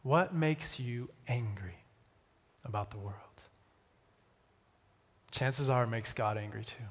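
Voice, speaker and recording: A man giving a conversational sermon with personal stories, using deliberate pauses and repetition and touches of wry humour. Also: medium pitch, husky. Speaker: missionary speaker. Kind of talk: sermon with personal storytelling to an audience. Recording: reverberant hall.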